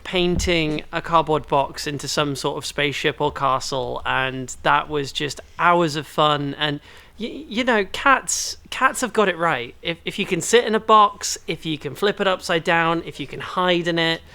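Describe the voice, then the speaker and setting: A man's voice: fairly high pitch, moderate pace, gravelly, few pauses, bright, forceful tone, clear, resonant tone. A man from Belgium chatting casually as a podcast co-host.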